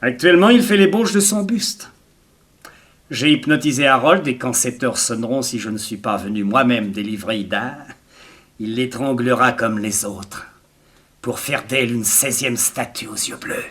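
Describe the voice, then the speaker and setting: An actor playing a smooth, gloating blackmailer and murderer in a theatrical 1960s French radio serial, mock-courteous and insistent. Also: male voice, mid-range voice, moderate pace, fairly bright tone, natural voice. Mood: menacing.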